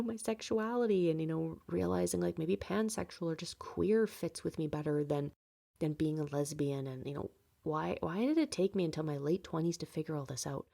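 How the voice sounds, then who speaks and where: Late 20s woman podcasting from home, low mellow voice, rambly and candid w lots of fillers. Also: vocal fry. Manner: candid, rambling, frequent fillers and light laughs. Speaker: podcast host. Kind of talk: personal reflective monologue.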